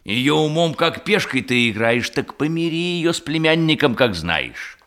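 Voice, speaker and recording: Sharp, mocking gentleman. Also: fairly high pitch, animated, a little slow. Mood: goading. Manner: teasing, emphatic. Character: mocking gentleman needling his flustered brother. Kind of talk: stage comedy radio play acting.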